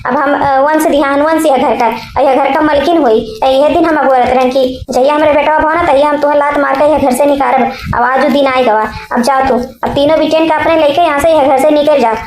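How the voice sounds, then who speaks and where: A woman playing a high-pitched, bossy young co-wife. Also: steady tone, a little fast, hardly any pauses, a little mellow, natural voice. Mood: gloating, contemptuous. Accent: eastern Uttar Pradesh. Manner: bossy, scolding, repeats commands. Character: scheming, domineering younger co-wife, bullying and threatening. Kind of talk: village family comedy cartoon dub. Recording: echoey room sound.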